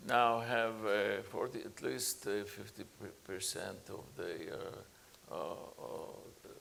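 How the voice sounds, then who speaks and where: A man speaking on a conference panel as a professor, halting often with fillers and restarts. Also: on the low side, gravelly, fairly bright tone, a little hiss or hum.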